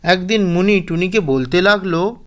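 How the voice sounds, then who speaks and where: A man's voice: sits high, moderate pace, a bit bright. A man narrating a scripted children's bedtime story as the storyteller of a cartoon.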